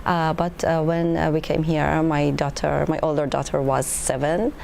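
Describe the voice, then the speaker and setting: A woman from Iran in her thirties answers panel questions in a low, gravelly voice. She pauses often and fills gaps with uh and um. A faint noise sits behind her. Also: level tone, darkish tone. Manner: hesitant, many filler sounds. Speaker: PhD student panelist.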